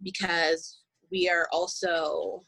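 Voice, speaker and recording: A woman speaks slowly and thoughtfully, pausing to find her words. Her voice is fairly low but bright and forward, recorded very dry, in a reflective interview style. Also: level tone, no room sound, low-quality recording. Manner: thoughtful, halting, searching for words. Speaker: stage actor in an audio play.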